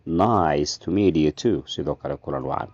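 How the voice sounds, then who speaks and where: Guy teaching phrases real slow, deep dark voice, dry muffled audio. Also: no echo. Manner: reads each English phrase slowly, then gives the Somali translation. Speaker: language teacher. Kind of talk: language lesson reading phrases. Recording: dull lo-fi audio.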